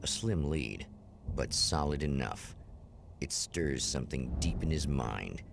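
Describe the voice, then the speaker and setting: A man acting as a solemn, portentous narrator of an eerie mystery TV show, speaking in deliberate, theatrical phrases with a gravelly voice. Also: very deep voice, lively intonation, background noise, heavily acted. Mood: grave, ominous. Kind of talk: dramatic scripted narration in 1960s mystery-TV manner.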